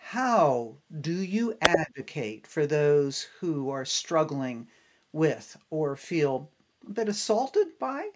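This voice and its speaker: A woman interviewing a guest as a podcast host, phrasing her questions and reflections deliberately in a conversational way. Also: deep voice.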